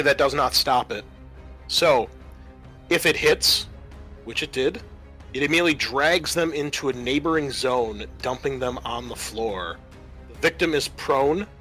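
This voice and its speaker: A man's voice: mid-range voice, bright, forceful tone. A man running a tabletop role-playing game, narrating the action theatrically and casually. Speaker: game master. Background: music.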